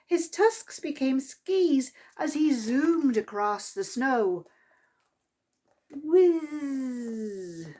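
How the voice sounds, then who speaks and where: A woman reading a picture book aloud to young children in an animated, expressive storytime manner. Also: sits high, extremely slow. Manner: expressive, with exclaimed sound effects and asides to listeners. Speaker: library storytime reader.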